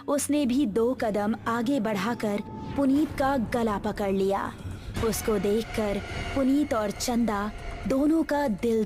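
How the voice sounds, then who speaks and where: A woman reading a scripted horror story as its narrator. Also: a little high.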